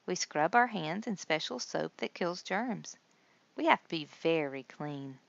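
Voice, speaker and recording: A woman's voice: fairly low pitch, animated, unhurried, creaky, no echo, dull audio. A woman voicing a friendly male surgeon in a children's storybook, patiently explaining in an instructive way.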